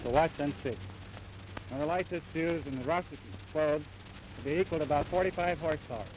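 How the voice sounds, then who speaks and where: Stiff, quick-talking 1930s pilot, hissy film. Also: male voice, a little high. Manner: stiff, recited. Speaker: glider pilot. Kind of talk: stiff prepared statement to camera. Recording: hissy old 1930s newsreel sound.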